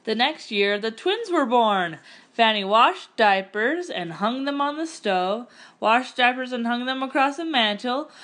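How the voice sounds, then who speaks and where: A woman narrating a picture-book story aloud in an expressive, scripted storytelling style. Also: on the high side.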